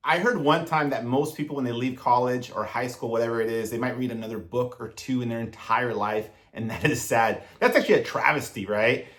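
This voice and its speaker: Man in his thirties, a self-improvement vlogger with an earnest, direct coaching delivery and an average-pitched voice. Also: a little fast, few pauses, fairly bright tone. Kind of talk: motivational advice monologue to camera.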